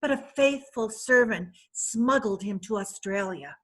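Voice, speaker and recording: A woman's voice: a little high, unhurried, fairly bright tone. A woman reading the part of a wealthy, worldly woman proud of her new fiancé, in a chatty, self-satisfied way, for a readers theatre play. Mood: boastful.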